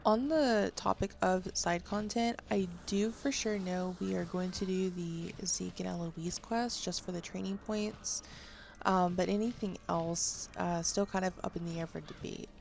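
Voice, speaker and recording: A woman's voice: on the low side, fairly even intonation, a little slow, creaky, a little mellow, slight background noise. A woman giving casual game walkthrough commentary as a gaming video creator. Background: game music.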